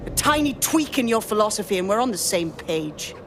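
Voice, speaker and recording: An actress playing a contemptuous villain hacker in a video game, theatrical, bitter and taunting. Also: female voice, mid-range voice, big pitch swings, fairly bright tone. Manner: mocking, theatrical, drawn-out. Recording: noisy background behind the voice.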